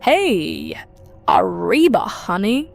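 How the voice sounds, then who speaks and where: A brash, snarky teenage girl acted out by a narrator in a slasher audio drama. The voice is creaky and swings widely in pitch, with faint music behind. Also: very animated, very slow, heavily acted. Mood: playful, loud. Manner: snarky, exclamatory. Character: brash, sarcastic American high school teenage girl.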